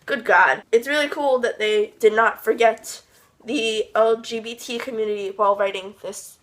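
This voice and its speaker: Chatty young woman with a high voice. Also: normal speed, a bit bright, a little hiss or hum. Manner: chatty, gushing and rambling, with frequent "like" and exasperated asides. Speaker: book reviewer. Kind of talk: casual book review vlog.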